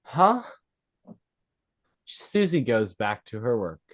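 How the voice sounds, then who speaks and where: A man's voice: medium pitch, very animated, slow pace. A man narrating, reading out screenplay stage directions at a table read. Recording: dull, lo-fi sound.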